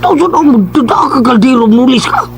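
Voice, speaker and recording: A man's voice: very high voice, lively intonation, fast talker, vocal fry, noisy background, exaggerated voice. A man playing a cowardly policeman in a Cebuano radio drama, stammering and pleading apologetically with a Cebuano accent. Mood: frightened, pleading. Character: cowardly, easily scared policeman husband.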